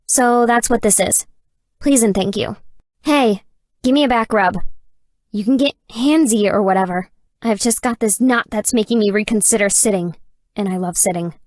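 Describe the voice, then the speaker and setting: Woman playing a deadpan, nerdy young hacker girl. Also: medium pitch, moderate pace, no echo, close to an everyday voice. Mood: dry, awkwardly affectionate. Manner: dry, deadpan, sarcastic. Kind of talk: scripted dating game character dialogue.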